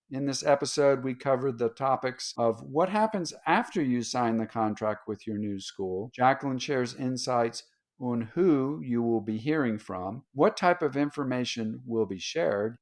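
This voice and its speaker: A man hosting a podcast, reading its scripted intro in an even voice. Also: medium pitch. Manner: even, read-aloud delivery. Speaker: podcast host.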